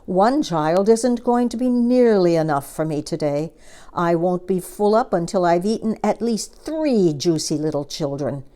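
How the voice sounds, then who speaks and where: A woman's voice: lowish voice, expressive, a little slow, mellow. A woman voicing an enormous male crocodile in a children's storybook, a greedy bully who gloats and schemes theatrically. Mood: greedy, gloating. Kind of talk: children's storybook character reading.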